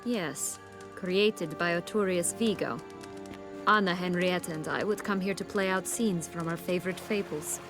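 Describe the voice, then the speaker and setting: An actress playing a proud, bitter exiled noblewoman in a video game, with haughty, formal, measured speech. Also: on the low side, over music, close to an everyday voice. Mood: cool, guarded.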